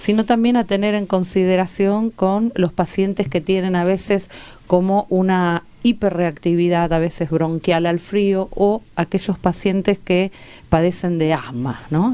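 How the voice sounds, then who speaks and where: A woman's voice: on the low side, steady tone, a little slow, dark tone, smooth. A woman with an Argentine Rioplatense accent, a guest doctor explaining health advice conversationally in a radio interview, often checking in with short tag questions. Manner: didactic, checks in with rhetorical tags. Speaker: guest doctor and medical researcher.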